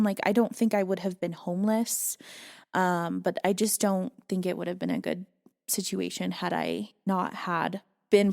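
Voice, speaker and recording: Woman podcast guest, mid-pitched, earnest and reflective, telling her own story. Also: creaky. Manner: reflective, earnest, with soft laughs. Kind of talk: candid personal storytelling in conversation.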